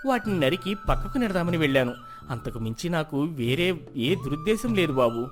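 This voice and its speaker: A man's voice: on the high side, big pitch swings, fairly quick. Man acting an accused farmer, pleading, over music. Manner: pleading, protesting. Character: accused, indebted male farmer, humble, defensive. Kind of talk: dramatized Telugu folk moral tale. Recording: music behind the voice.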